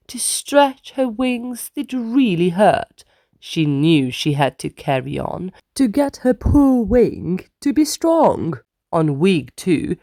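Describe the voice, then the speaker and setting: A woman's voice: medium pitch, dark tone, dry recording, clean studio sound. A woman storyteller reading a rhyming children's book aloud as scripted narration.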